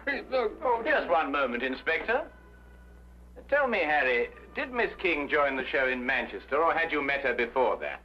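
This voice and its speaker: A man with an English accent playing a shrewd, urbane gentleman detective in a vintage drama, composed and courteous, on an old recording. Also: on the high side, sing-song, moderate pace, soft background music. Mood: courteous, curious. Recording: dull, hissy old broadcast recording.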